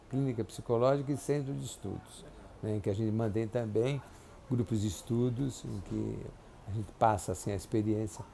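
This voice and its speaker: A man, recalling his family in an oral history interview, speaking haltingly with many restarts and tag questions. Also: lowish voice, creaky, warm tone, slight background noise. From Brazil. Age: 70s. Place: clinic office.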